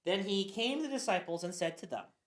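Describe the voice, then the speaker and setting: A woman's voice: mid-range voice, lively intonation, a little fast. A woman narrating scripture for a church passion play reading. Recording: roomy echo.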